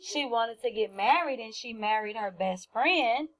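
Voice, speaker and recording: A woman teaching first graders through a read-aloud, speaking deliberately and with emphasis, often questioning the children. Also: fairly high pitch, lively intonation, poor audio.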